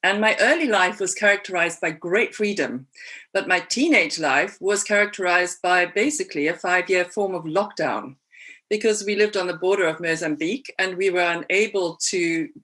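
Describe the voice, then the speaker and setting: A woman's voice: fairly low pitch, moderate pace, a bit bright. A woman from Rhodesia introducing herself on an online panel in a chatty, self-deprecating way.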